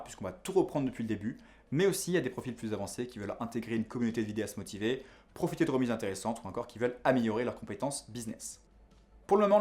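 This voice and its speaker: Guy, course teacher doing a scripted promo, talks really fast. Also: medium pitch, a little mellow. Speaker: video course instructor and founder. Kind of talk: scripted promotional narration to camera.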